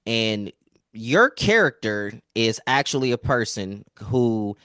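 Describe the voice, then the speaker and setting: A man with a fairly low voice conducts a conversational entertainment interview. He is encouraging and full of compliments for his guests. The sound is dry, with very little room around him. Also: big pitch swings, slow, sharp tone, clean tone, no echo.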